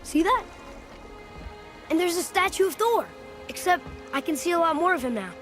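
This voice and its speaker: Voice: very high-pitched, animated, normal speed, over music. An actor voicing a curious, eager young boy in a video game, talkative and observant. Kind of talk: naturalistic video game acting.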